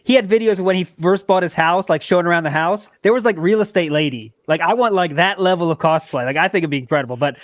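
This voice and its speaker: Male host, high-pitched, dead-dry close mic, chatty and filler-heavy. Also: no echo. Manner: fills speech with "like", quick to laugh. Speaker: podcast host. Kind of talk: casual chat.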